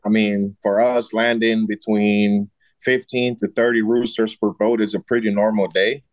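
A man in his late thirties, born in Costa Rica and raised in the American Midwest, answers interview questions in a friendly, explanatory way. His voice sits fairly low and the recording is dry and close. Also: level tone, normal speed, dry recording, highs cut off. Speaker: fishing director guest.

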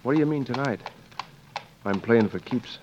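An actor playing a brash, romantic American flyer, slangy and sardonic, in 1940s radio drama style. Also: male voice, medium pitch, moderate pace, darkish tone, close to an everyday voice. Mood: flirtatious, teasing. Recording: muffled, noisy 1940s radio recording.

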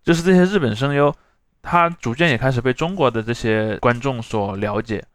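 A man speaks quickly in a dry recording. He hosts conversationally, unfolding explanations in long discursive runs and checking in for agreement as he goes. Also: medium pitch, no echo. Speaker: podcast host.

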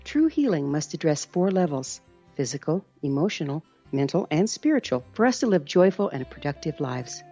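A woman's voice: sits low, big pitch swings, moderate pace, breathy edge. A woman reading a scripted promotional announcement. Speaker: advertisement announcer. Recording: slightly dull recording, soft music bed.

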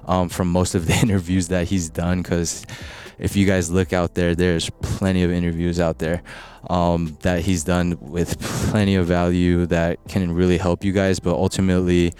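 A man's voice: deep voice, flat delivery, normal speed, hardly any pauses, darkish tone, clear, resonant tone. A man hosting a faith podcast, talking casually with many ums and likes. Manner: hesitant, many fillers. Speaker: podcast host. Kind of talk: casual interview.

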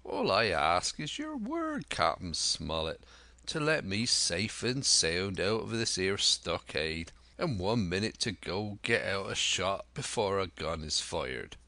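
A man's voice: deep voice, very animated, slow pace, bright, forceful tone, no room sound, exaggerated voice. A man voicing a cheery, sly old pirate captain with rustic sailor talk in an adventure storybook. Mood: wheedling.

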